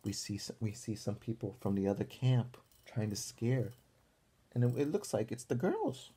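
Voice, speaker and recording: A man reads a children's picture book aloud in a teacherly way. His voice is soft-edged and mellow with a slightly husky grain, and it moves widely in pitch. He goes deliberately and pauses to put questions to young listeners. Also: sing-song.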